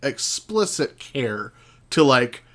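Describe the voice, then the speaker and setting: A man's voice: mid-range voice, sing-song, takes it slowly, fairly bright tone. A man appearing as a podcast guest, chatting casually and profanely and dropping quotes into his explanations. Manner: informal, profane, acts out quotes conversationally. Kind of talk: casual chat.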